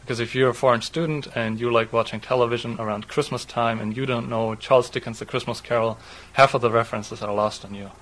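A man in his twenties from southwest Germany, a native German speaker with a German accent, speaking in a halting, explanatory way. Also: medium pitch, fairly even intonation, a bit bright, poor audio. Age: 20s. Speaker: interviewee, doctoral student. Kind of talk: read passage, then unscripted personal account.

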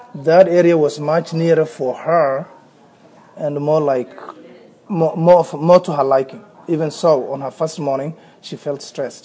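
A man in his thirties with a Somali accent speaks in a slightly high, mellow voice. He reads and talks at an unhurried, hesitant pace, often stopping to restart or repeat a word. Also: low-quality recording. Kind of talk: reading a passage aloud, then unscripted talk.

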